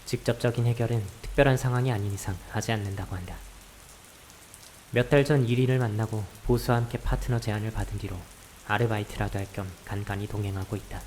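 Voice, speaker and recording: A man playing an exasperated male high school student in a visual novel, blunt, dry and sarcastic, with a sighing delivery. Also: fast, lightly acted. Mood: dry narration. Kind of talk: visual novel voice acting with some first-person narration. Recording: hiss with steady rain behind.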